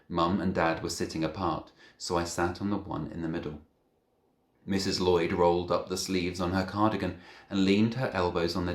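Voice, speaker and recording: A man reading a children's novel aloud as an expressive narrator. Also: sits low. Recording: echoey room.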